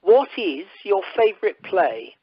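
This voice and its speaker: A woman's voice: medium pitch, sing-song, a little slow, breathy edge, dry recording. A woman playing a charming, forward male suitor in an amateur radio play recorded by telephone. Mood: flirtatious. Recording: muffled telephone conference line.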